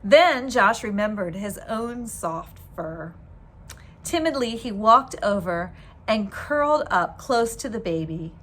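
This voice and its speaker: A woman reading a children's storybook aloud in an expressive, deliberate storytelling manner. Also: medium pitch. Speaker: teacher reading a book aloud.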